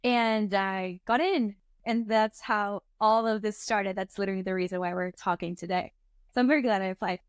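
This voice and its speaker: A woman's voice: mid-range voice, normal speed, smooth, no room sound. Brief: woman in her twenties from Venezuela, guest, chatty, storytelling, dry close recording. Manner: animated storyteller, fillers like "like" and "um". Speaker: startup co-founder, guest. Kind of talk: casual interview talk.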